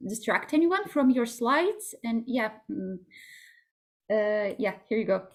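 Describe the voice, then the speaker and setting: Woman moderator on a video call, super slow and halting, lots of uh's. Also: fairly high pitch, expressive. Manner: halting, many fillers. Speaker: event moderator. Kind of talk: hesitant introduction.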